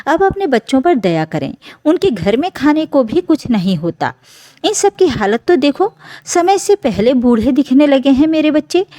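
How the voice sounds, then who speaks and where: Woman voicing a mellow, imploring elderly mother. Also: sits high, no room sound. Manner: imploring, emotional. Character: tearful, pleading elderly mother and grandmother, soft-hearted.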